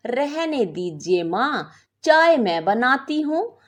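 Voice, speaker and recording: A woman voicing a naive, gentle young daughter-in-law in a story, innocent and trusting. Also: high voice, very animated, slow pace, exaggerated voice. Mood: polite.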